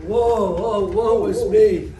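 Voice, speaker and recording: A man's voice: sits high, steady tone, slow pace, dark tone. A man acting a luckless, self-pitying moneylender in an amateur reading of a classical comedy, plaintive and melodramatic. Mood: woeful. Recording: echoey room, distant mic, faint noise.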